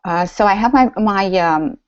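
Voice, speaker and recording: A woman's voice: mid-range voice, lively intonation, moderate pace, a little mellow, no echo, dull audio. A woman with a Romanian accent hosting a live online workshop, chatty and full of fillers and restarts. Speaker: workshop host. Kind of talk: live webinar teaching.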